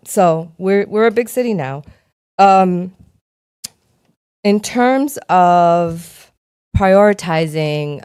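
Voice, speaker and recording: A woman from Cuba speaking as vice mayor at a city workshop, measured and steady. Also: fairly low pitch, smooth, dry recording, studio quality. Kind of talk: public meeting remarks.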